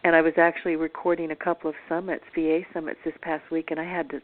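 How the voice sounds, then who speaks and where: A woman with a hoarse, strained voice hosting a radio interview, chatty and quick to laugh. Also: low-pitched, little pitch movement. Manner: chatty, laughs often, dramatic asides. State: hoarse, voice in rough shape. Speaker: radio show host. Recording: tinny phone line.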